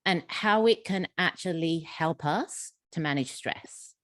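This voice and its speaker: A woman's voice: on the low side, slow pace, no echo. Dry-sounding woman moderating a chat. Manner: warm, encouraging, repeats words when starting sentences. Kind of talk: hosting a panel conversation. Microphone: video call.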